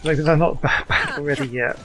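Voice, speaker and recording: A man's voice: a little high, fairly quick. A man giving casual, muttered running commentary as he plays a video game, with game music behind him. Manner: mutters running reactions to gameplay. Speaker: gaming video creator. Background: game music and sounds.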